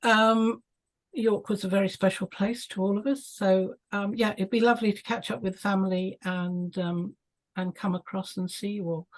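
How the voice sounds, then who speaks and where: A woman's voice: medium pitch, steady tone, moderate pace, low-quality recording. A woman talking casually on a video call, chatty and full of ums and small laughs. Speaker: club member on a video call.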